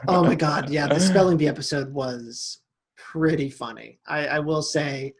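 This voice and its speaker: A husky man chatting loosely on a podcast with his friends. He riffs on his own jokes and trips over restarts as he goes. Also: on the high side, normal speed. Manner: joking, rambling with many restarts. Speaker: podcast host. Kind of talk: casual chat among friends.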